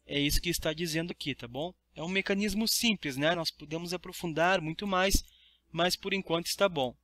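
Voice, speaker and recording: A man's voice: fairly high pitch, bright, forceful tone, dry recording. A Brazilian man teaching a study lesson in a didactic way, reading aloud and explaining. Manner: didactic, checks understanding with tag questions.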